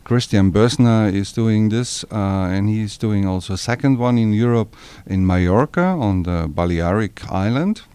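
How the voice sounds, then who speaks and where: A man, a touring jazz musician talking casually in a halting, full of fillers. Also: lowish voice, expressive, mellow, clear, resonant tone. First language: not English. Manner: halting, filler-heavy non-native English. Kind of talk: casual interview chat.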